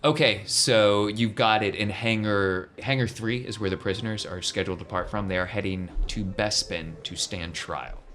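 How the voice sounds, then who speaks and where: Male game master, lowish voice, casual improvised narration for tabletop play. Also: fairly even intonation, moderate pace, few pauses, a bit bright, a little hiss or hum.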